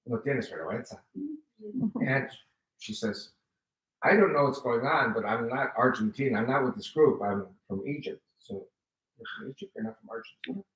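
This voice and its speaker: An older man in his seventies gives an animated personal testimony, his voice bright and forceful. He acts out quoted exchanges as he tells his story. He is heard from a distance in a roomy, echoing hall. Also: medium pitch, moderate pace. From United States. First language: Spanish. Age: 70s. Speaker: guest speaker giving testimony. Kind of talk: personal testimony to a live audience. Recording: roomy hall, voice picked up from afar.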